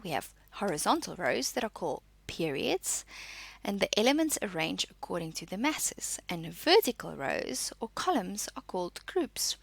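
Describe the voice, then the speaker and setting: A woman's voice: medium pitch, creaky, a bit bright, dry recording. A woman teacher giving an instructional school lesson, reading notes and explaining exercises, posing questions and answering them. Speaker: science teacher.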